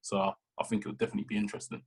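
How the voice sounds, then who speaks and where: A man, speaking in a laid-back way with fillers as a discussion guest. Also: rapid-fire. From London. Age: 30s. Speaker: panel guest.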